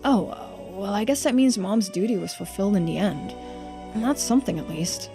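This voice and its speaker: A woman's voice: medium pitch, animated, moderate pace, music in the background. A woman voicing character dialogue in a visual novel playthrough, with conversational, acted line readings. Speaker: streamer reading game dialogue aloud.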